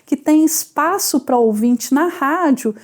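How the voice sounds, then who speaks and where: A woman's voice: sits high, lively intonation, slow pace. A Brazilian woman explaining history in a conversational interview.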